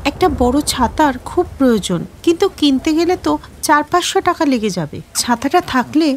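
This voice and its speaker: Cartoon heroine, female performer: struggling kind-hearted vendor, high pitch, animated intonation. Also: a little fast, slight background noise. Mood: worried. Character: worried, kind-hearted samosa seller, struggling young wife. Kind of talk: cartoon story voice acting.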